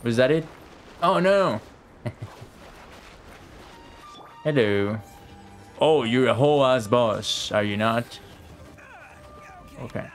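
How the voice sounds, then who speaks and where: A man's voice: medium pitch, slow, long pauses. A man giving casual live-stream game commentary in slangy, chatty language with plenty of swearing. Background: game music.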